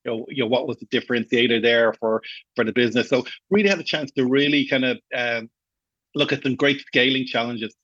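A man's voice: mid-range voice, brisk, fairly bright tone, dry recording, muffled recording. A middle-aged Irish man giving conversational answers as a guest in an interview, explaining his work at length. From Ireland. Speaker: podcast guest, customer support executive.

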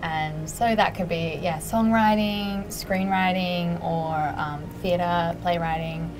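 A woman's voice: lowish voice, steady tone, slow pace, rough recording. A young woman, chatting in an interview about her creative work, chatty and self-deprecating, with many fillers. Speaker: interviewee, musician and writer. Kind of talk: casual interview answers. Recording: music playing behind.

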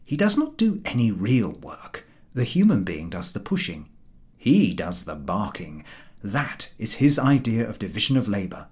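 A man reading comic prose as an audiobook, expressive and theatrical, with measured phrases and emphatic pauses. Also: fairly low pitch. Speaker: audiobook narrator.

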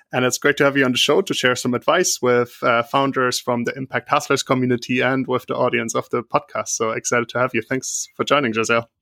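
A man's voice: mid-range voice, pushed and bright, dry recording. A man hosting an interview podcast, reading a sponsor spot and an intro in an upbeat, earnest way with some filler words. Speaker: podcast host. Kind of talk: scripted ad read and interview intro.

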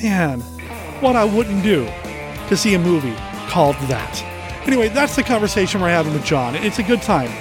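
A man's voice: high voice, very animated, normal speed. Nasal male podcast host with a cold. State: head cold. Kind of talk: enthusiastic podcast intro. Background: music.

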